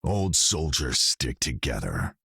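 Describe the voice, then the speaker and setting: Hardened vigilante, gravelly, bottom-deep, grim, close dry sound, video game. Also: male voice, very animated, slow pace, sharp tone, no echo, exaggerated voice. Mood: grim respect.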